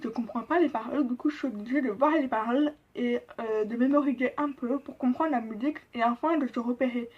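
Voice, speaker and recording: A young deaf woman with a deaf-speech accent, explaining things in a casual vlog, halting with many pauses and fillers. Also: sits high. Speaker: vlogger. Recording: dull lo-fi sound.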